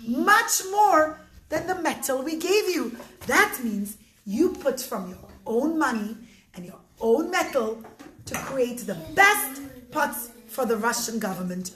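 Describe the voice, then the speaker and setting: Storybook judge played by a woman: grand, emphatic, high and drawn out, in an echoey room. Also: big pitch swings, slow pace, pushed and bright, heavily acted. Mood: grandly praising. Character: booming official Russian judge, grandly praising, in folk tale. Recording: roomy echo, faint noise.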